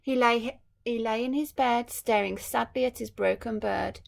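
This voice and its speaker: A woman teacher reading a storybook aloud to children, adding gentle, musing asides to her young listeners. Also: a little high, a little slow, vocal fry. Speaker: primary school teacher.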